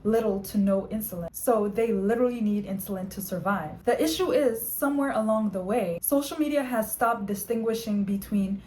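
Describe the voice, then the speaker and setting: A woman's voice: medium pitch, moderate pace, hardly any pauses, a little mellow, a little hiss or hum, poor audio. A woman presenting a scripted explainer as a fitness and wellness video creator, articulate, orderly and informative. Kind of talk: scripted explainer narration to camera.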